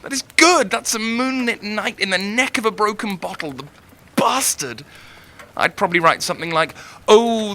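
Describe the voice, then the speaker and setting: A tormented young writer in an audio drama, self-doubting and lovesick. His voice is sharp and forceful, raw with feeling, with slight noise behind it. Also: high voice. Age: young adult. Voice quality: intense, emotional delivery.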